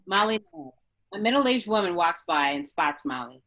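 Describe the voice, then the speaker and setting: Lowish-voiced woman narrator. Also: animated, normal speed, fairly bright tone. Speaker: stage directions reader. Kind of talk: scripted narration.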